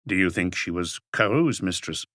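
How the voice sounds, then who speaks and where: Calm inspector, voiced by the narrator, low, soft and measured, dry close read. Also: male voice, no room sound. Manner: quiet, measured. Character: calm male police inspector, quiet questioner.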